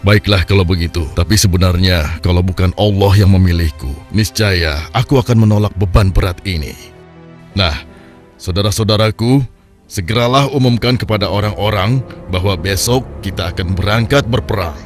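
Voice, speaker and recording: A man voicing a humble, solemn new leader in a cartoon, speaking in a measured way. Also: low-pitched, faint music behind, natural voice. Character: humble newly chosen leader of his people. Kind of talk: Islamic cartoon dubbing.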